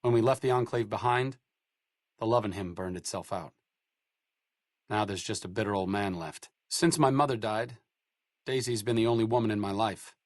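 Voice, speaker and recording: A man's voice: on the low side, normal speed, long pauses, no echo, close to an everyday voice. An actor playing a bookish, dry-witted male doctor, speaking with sarcastic, literate quips in video game dialogue. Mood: reflective.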